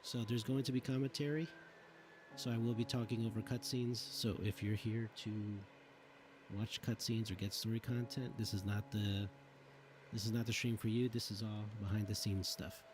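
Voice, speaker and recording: Low-voiced man giving casual game commentary. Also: flat delivery, moderate pace, background noise. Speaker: streamer, former game cutscene assistant giving commentary. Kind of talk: casual livestream behind-the-scenes commentary.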